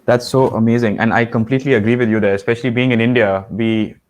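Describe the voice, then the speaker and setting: A man's voice: mid-range voice, steady tone, fast. A man hosting an interview over a video call, asking enthusiastic, rambling questions with fillers. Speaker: host. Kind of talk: podcast interview.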